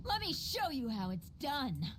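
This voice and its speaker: A woman's voice: high voice, wildly swooping pitch, unhurried, heavy background noise, heavily acted. A woman playing a cocky, menacing rogue in a fantasy video game, with confident, taunting delivery. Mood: cocky, threatening. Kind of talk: video game combat barks. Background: loud game music.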